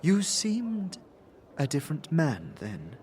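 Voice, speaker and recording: Man voicing a stately, high-pitched, slow-speaking mysterious woman. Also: wildly swooping pitch, a little mellow, slight background noise, full character voice. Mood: wistful. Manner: stately, halting, formal, interjecting own name. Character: long-lived mysterious female being, formal, wistful, guarded. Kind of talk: fantasy game audio drama acting.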